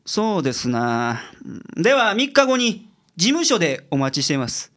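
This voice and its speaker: A man's voice: a little high, sing-song, normal speed, fairly bright tone. A man playing a blunt, logical private detective with a Kansai accent in a radio drama. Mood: composed, explaining. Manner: explanatory, blunt with his juniors. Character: gruff, logical male private detective, secretly soft-hearted.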